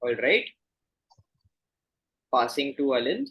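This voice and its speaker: A man hosting a quiz, reading questions and announcing results in a courteous, formal, measured way. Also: medium pitch, sing-song, a bit bright, slightly rough, low-quality recording.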